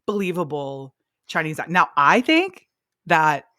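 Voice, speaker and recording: A woman's voice: low-pitched, a little slow, no room sound, studio quality. Chatty young woman podcast host. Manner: chatty, swearing, expressive. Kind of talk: casual chat.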